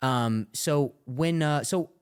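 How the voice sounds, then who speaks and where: A man's voice: fairly high pitch, slow, darkish tone, clean tone. A man hosting a casual podcast, who stutters, repeats words and trails off mid-sentence. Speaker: podcast host.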